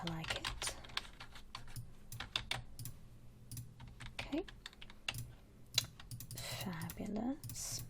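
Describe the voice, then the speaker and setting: A woman voicing a friendly, attentive vampire saleswoman in a gentle, breathy ASMR whisper, close to the mic. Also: lowish voice. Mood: friendly, soothing. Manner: gentle, reassuring, chatty customer-service talk with pauses. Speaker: ASMR roleplay performer. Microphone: close ASMR microphone.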